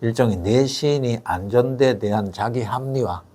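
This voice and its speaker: An older man, explaining education matters in a measured interview style with frequent fillers and rhetorical questions. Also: mid-range voice, dark tone. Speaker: education expert guest.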